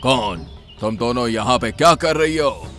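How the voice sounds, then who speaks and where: A man's voice: a little slow, fairly bright tone, soft background music, natural voice. A man voicing a big, menacing woodpecker gang boss in a cartoon, with a scolding, commanding manner. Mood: startled, challenging. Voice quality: deep voice.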